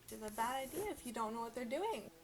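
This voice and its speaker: A young woman co-hosting a casual chat, reacting to stories in an animated, exclamatory, informal way. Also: on the high side, quick pace. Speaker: podcast co-host.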